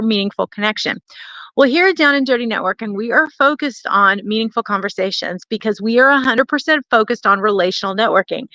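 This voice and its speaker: Higher-voiced woman, chatty livestream coach, muffled sound. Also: sharp tone, dry recording. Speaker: networking coach and livestream host. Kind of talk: live talk to online viewers, chatty coaching. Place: indoors at a family home.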